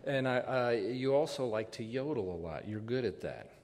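An older American man hosting a live stage radio show, introducing and interviewing in a halting, conversational way. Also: medium pitch, lively intonation, darkish tone. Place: large theater stage. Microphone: vintage stand microphone. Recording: reverberant theater hall, faint noise.

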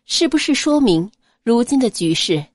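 A woman narrating an audiobook in an expressive, scripted storytelling style. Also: fairly high pitch, moderate pace, no echo.

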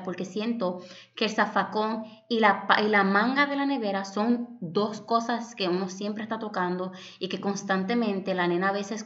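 A woman's voice: medium pitch, muffled recording. A young woman from Puerto Rico with a Puerto Rican Spanish accent, narrating a home cleaning vlog in an affectionate, chatty voice-over that calls the viewers "my loves". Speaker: home vlogger.

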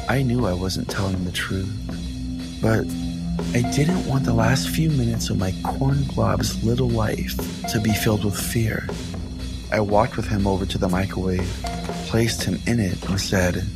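A man's voice: fairly low pitch, measured pace. A man hosting a comedy podcast, telling it in a theatrical, mock-solemn way. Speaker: podcast host. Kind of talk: comic dramatic storytelling and show chat. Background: music.

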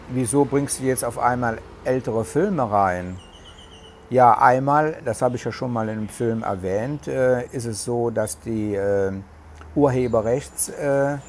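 A man's voice: mid-range voice, measured pace, slight background noise. A middle-aged man with a regional German dialect, chatting casually to camera with many hesitations, recorded outdoors on a terrace with a lapel mic. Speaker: vlogger. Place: covered terrace outdoors in the tropics.

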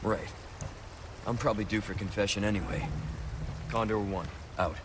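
Young American action-game agent, male actor, low, terse, commanding, guarded, over clear background noise. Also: fairly even intonation, normal speed, natural voice. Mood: dry, businesslike. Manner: curt, dry, commanding. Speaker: video game character. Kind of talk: cinematic game voice acting.

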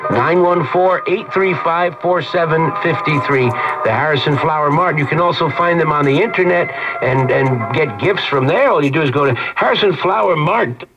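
A man's voice: fairly high pitch, moderate pace. A man reading a radio advertisement, heard through a vintage radio speaker. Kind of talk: radio ad read. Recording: dull vintage radio speaker, music behind.

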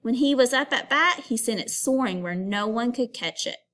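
Female primary teacher, voice a bit high, expressive and instructive read-aloud for kids. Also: normal speed. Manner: expressive storytelling, instructive, addresses pupils with questions. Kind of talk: read-aloud lesson for children.

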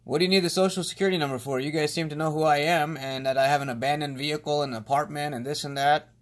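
A man's voice: a little high, fairly even intonation, quick pace. A man talking casually on a phone call, pleading with naive-sounding worry. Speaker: prankster call recipient playing along with a scammer.

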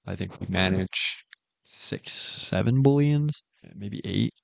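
Low, creaky-voiced man giving updates. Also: takes it slowly, no echo. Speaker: game mod developer giving a progress update. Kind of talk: informal devlog voice-over.

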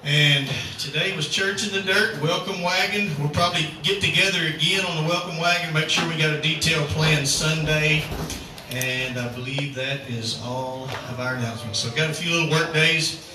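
A man's voice: a little high, steady tone, normal speed. A man, a cowboy church pastor giving folksy announcements and a sermon outdoors, calling on the crowd to answer. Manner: folksy, chatty, calls for crowd response. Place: outdoor rodeo arena at sunset. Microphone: far camera across the arena. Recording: echoey outdoor arena from far off, noisy.

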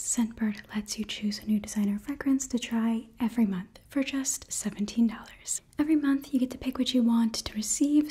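Casting: young female ASMR host, very breathy soft whisper, mellow tone, close-miked product review. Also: on the high side. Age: young adult. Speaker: ASMR host reading a sponsor ad. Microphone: close microphone.

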